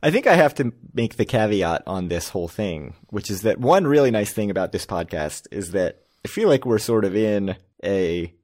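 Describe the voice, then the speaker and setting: A man's voice: lowish voice, expressive, moderate pace, few pauses, a little mellow. An American man co-hosting a podcast, talking casually and a bit rambly, often laughing at himself. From United States. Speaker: podcast co-host. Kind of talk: casual chat.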